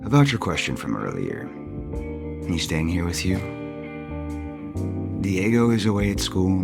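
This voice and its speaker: Low voiced soap opera man, kinda weary and guarded but sweet, talks close and sincere. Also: very animated, unhurried, vocal fry, loud music behind the voice, heavily acted. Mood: affectionate, relaxed. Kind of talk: audio soap opera acting.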